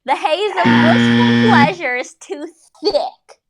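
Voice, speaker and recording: A woman's voice: fairly high pitch, wildly swooping pitch, takes it slowly, loud music behind the voice. A woman giving a comic dramatic reading of fan fiction, theatrical and jokey, often breaking off to comment.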